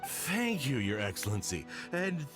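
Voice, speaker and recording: A man playing a flustered, grateful merchant in a video game, halting and deferential in his delivery. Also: medium pitch, wildly swooping pitch. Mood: relieved, grateful. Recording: game music behind the voice.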